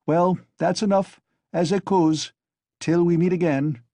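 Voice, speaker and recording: A man's voice: high voice, fairly even intonation, measured pace, mellow, dry recording, voice pushed up. A man voicing Raskolnikov, a feverish bitter young man. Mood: feverish mocking. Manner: taunting, venomous, overwrought.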